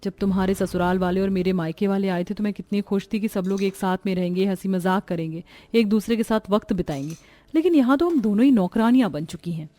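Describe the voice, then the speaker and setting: A woman's voice: medium pitch, fast talker, dark tone, no room sound, natural voice. A woman voicing a tired, overworked young housewife in a cartoon, lamenting wearily. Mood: fed up. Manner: weary, lamenting.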